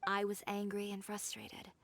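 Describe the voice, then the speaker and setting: A woman's voice: mid-range voice, monotone, normal speed, vocal fry, fairly bright tone, dry recording. An actress playing a proud, determined young woman who designs fashion, blunt and self-assured in scripted video game dialogue. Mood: reflective, wry. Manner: composed, self-assured, dry. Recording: game music behind the voice.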